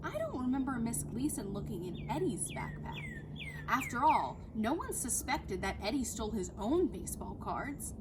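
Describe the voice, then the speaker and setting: A woman voicing a thoughtful, sharp-minded schoolgirl detective in a storybook, earnestly reasoning things through. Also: fairly high pitch, huge pitch swings, normal speed. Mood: explaining. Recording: clear background noise outdoors.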